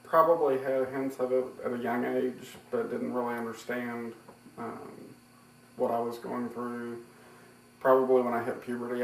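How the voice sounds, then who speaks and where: A man's voice: mid-range voice, gravelly. A man in his thirties giving candid, matter-of-fact interview answers. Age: 30s. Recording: roomy, distant mic, faint noise.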